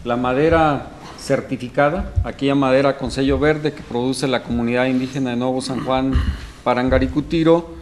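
A man in his forties with a Mexican Spanish accent, a forestry official giving a deliberate press statement with frequent fillers, recorded on desk microphones indoors. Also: mid-range voice, steady tone, a little hiss or hum. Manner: deliberate, many fillers, lists items.

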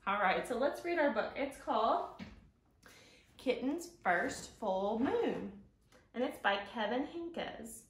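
Expressive storytime woman with lively, swooping pitch. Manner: expressive, simple child-directed delivery with drawn-out key words. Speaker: children's librarian, storytime host. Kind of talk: storytime presentation and picture-book read-aloud for young children. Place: living room at home, indoors. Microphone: camera a few feet away. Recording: roomy sound from camera mic.

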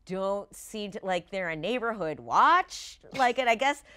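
An expressive woman in her thirties chats casually as a guest in a studio. Her medium-pitched voice moves around a great deal, with animated, lively intonation. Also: a little slow, clean tone. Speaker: talk show guest, roleplay performer. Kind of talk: casual chat. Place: indoor studio set.

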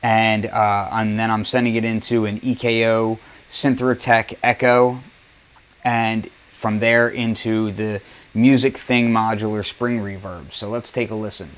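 A man's voice: fairly low pitch, level tone, unhurried. Casual man demonstrating music gear. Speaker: presenter of music gear demos. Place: basement studio.

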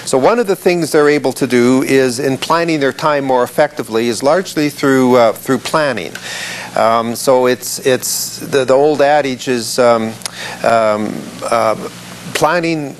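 Male farm-management expert, unscripted interview answer, hesitant and filler-heavy, mid pitch. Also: slight background noise. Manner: halting, many fillers and restarts.

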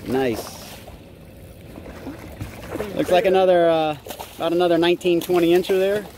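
A middle-aged man with an American accent and a fairly high voice hosts a fishing outing. He talks casually and in a folksy, easygoing way as he works. Clear outdoor noise from the boat and water runs behind him. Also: moderate pace, a little mellow. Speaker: fishing vlog host. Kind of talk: casual running commentary while fishing. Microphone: handheld camera or phone.

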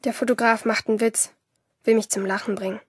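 An actress playing a lonely, sardonic teenage schoolgirl who narrates her day in a radio drama, in a breathy voice. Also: a little high, little pitch movement, brisk, no room sound, studio quality. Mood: sullen, sarcastic.

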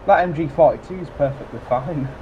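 A man's voice: a little high, dull audio, slight background noise. A man with a West Yorkshire accent, joking and swearing with his squad during a war game. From West Yorkshire, England. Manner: dry, swears often, quick to laugh. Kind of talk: casual gaming banter.

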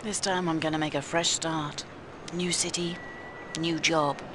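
A woman playing a subdued, world-weary female character in a video game, quiet and resigned. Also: deep voice, fairly even intonation, measured pace, background noise.

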